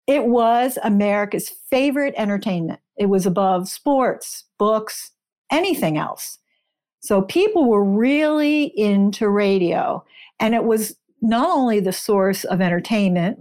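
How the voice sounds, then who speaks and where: A woman's voice: medium pitch, darkish tone. A woman speaking as an interview guest, an author who explains her research in an informative, detailed way.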